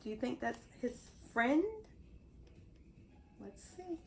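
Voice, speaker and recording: A woman reading a story aloud to young children, expressive, pausing to explain things and ask simple questions. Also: a little high, a little hiss or hum, low-quality recording. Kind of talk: animated read-aloud narration.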